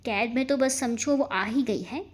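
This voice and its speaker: A woman's voice: fairly high pitch, normal speed, husky. A woman voicing a smug, menacing man in a story, with a confident, teasing manner. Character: smug, menacing man boasting of his captive.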